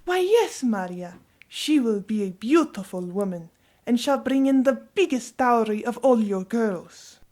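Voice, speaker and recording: A woman playing a sharp-tongued, theatrical grandmother in an audio drama. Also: on the high side, expressive, unhurried. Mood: approving.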